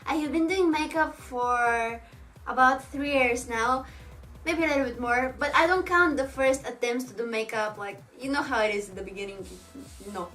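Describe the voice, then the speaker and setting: A woman's voice: high-pitched, normal speed, a bit bright, soft background music, low-quality recording. A young woman from Bulgaria with a Bulgarian accent, English not her first language, chatting casually as a makeup vlogger answering viewer questions. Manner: chatty, reads viewer questions aloud, laughs often. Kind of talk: casual Q&A chat.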